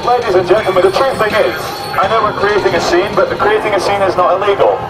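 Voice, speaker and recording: A man's voice: high-pitched, fast talker, over a noisy crowd, poor audio. A man making a declamatory public speech outdoors on a city street, full of repeated slogans, like an activist addressing passers-by. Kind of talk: public street oratory, satirical proclamation. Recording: street recording with loud music.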